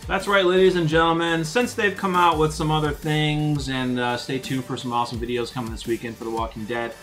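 A man's voice: fairly high pitch, fairly even intonation, brisk, fairly bright tone. A man co-hosting a fan news show in casual, unscripted chat. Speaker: co-host of a fan news channel. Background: background music.

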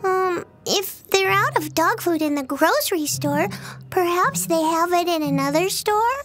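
A woman's voice: very high-pitched, lively intonation, a little slow, a bit bright, soft background music, exaggerated voice. A woman playing a timid little puppy in a children's cartoon, with a hesitant, childlike way of talking. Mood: tentative.

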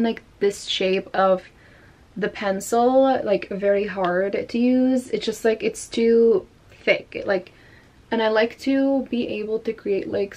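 Chatty young woman doing her makeup. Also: on the high side. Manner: chatty, lots of "like" fillers. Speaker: vlogger. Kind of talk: casual makeup tutorial chat. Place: bedroom at home.

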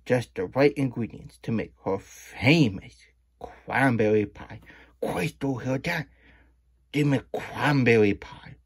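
A man acts out a cheerful little plush hedgehog hosting a children's story time. The voice is husky and sits close in a dry room. It chats in muddled, third-person baby talk between bits of storybook. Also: medium pitch, takes it slowly, dry recording. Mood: wondering, sweet. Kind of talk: children's storybook reading with chatty asides. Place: small indoor room at a desk.

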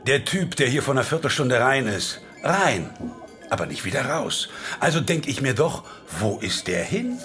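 An actor playing a gruff, impatient tough guy with a sarcastic, threatening manner in a horror audio drama. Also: medium pitch, very animated, normal speed, fairly bright tone. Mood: sarcastic menace. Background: music.

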